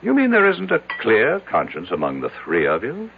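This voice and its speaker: A man's voice: fairly low pitch, huge pitch swings, moderate pace, vocal fry, narrow, tinny audio, exaggerated voice. A male actor with an American accent playing an arrogant, self-made, skeptical businessman in brash old-time radio drama style, on a noisy old recording. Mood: defiant. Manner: brash, self-assured, declamatory.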